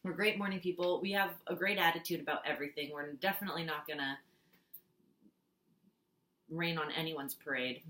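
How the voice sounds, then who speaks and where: A young woman from Switzerland speaks English, her voice bright and fairly low for a woman. She jokes, giggles and fills her pauses with "um", recorded in an echoey small room at home. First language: Swiss German. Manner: giggly, jokey, fills gaps with "um". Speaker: contestant. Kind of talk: casual audition tape.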